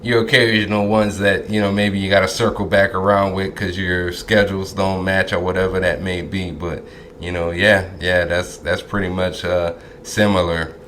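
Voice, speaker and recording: A man hosting a podcast interview, talking easygoing with lots of filler pauses. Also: sits low, level tone, a bit bright, a little hiss or hum. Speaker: podcast host. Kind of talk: casual interview chat. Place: Dallas.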